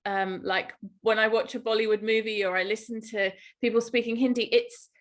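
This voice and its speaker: A woman's voice: a little high, fairly even intonation, fairly quick. A middle-aged woman with an English accent, telling personal stories as a guest in a conversational podcast interview. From England. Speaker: guest, yoga teacher and Ayurveda guide.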